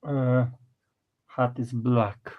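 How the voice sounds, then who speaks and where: A man with a Polish accent co-hosting a casual stream, speaking halting English and pausing to search for words. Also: mid-range voice, little pitch movement, narrow, tinny audio. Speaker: co-host. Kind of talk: casual chat.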